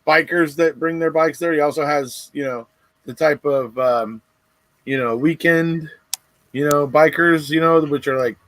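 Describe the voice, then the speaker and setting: A man's voice: on the high side, unhurried, fairly bright tone, no room sound, poor audio. A man talking as a guest in a casual chat, easygoing, with lots of "you know" fillers.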